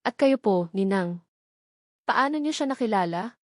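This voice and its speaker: An anxious young mother played by a woman in an audio love drama. She stammers and repeats her questions in a trembling, shocked tone. The sound is dry, with a mid-range pitch. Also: animated, measured pace, dry recording, natural voice. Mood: curious.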